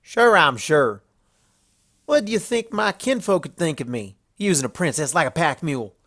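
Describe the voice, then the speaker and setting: A man's voice: a little high, very animated, moderate pace, fairly bright tone, pitched above the performer's own voice, heavily acted. Man voicing a stubborn, folksy farm mare. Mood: firm, bossy. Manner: folksy country drawl phrasing, blunt. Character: stubborn plain-spoken young female farm pony. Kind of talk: storybook fan fiction character voice.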